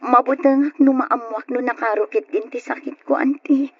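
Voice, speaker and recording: A woman's voice: on the high side, fairly even intonation, moderate pace, husky, dry recording. An actress playing a frightened, fragile young woman who is ill, plaintive and melodramatic, in an old Ilocano radio drama. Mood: worried. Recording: dull, muffled old radio drama recording.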